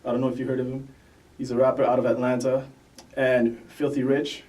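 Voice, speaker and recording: Laid-back young man, mellow voice. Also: medium pitch, monotone, a little fast, recorded from a distance, poor audio. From Canada. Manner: laid-back, loose, with fillers. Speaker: guest rapper. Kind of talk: casual interview chat.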